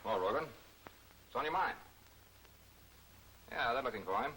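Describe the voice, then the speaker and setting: Bright-voiced, brisk police detective. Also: male voice, medium pitch, lively intonation, rough recording. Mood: businesslike. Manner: businesslike, clipped. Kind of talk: 1940s movie serial acting. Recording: old film soundtrack with faint hiss.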